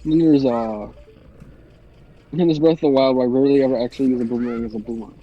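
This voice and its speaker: A man's voice: medium pitch, steady tone, racing, highs cut off. A young man with a stuffy, congested voice chatting hesitantly over voice chat on his phone, with lots of restarts. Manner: hesitant, rambling, many restarts. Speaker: friend on voice chat. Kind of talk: casual chat. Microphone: phone mic over voice chat.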